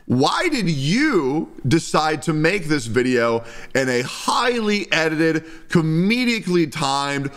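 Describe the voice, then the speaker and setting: A man's voice: fairly high pitch, a bit bright. A man doing off-the-cuff reaction commentary, firing rhetorical questions in a confrontational way. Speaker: reaction video creator.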